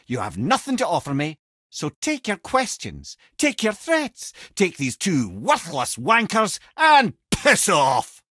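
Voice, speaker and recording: Game role: old Scottish male sage, clever and wordy, husky timbre, lively swooping delivery, theatrical storytelling. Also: high voice, takes it slowly, dry recording, studio quality, full character voice. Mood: defiant. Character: clever old severed-head sage, wry, garrulous storyteller. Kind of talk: video game character dialogue and storytelling.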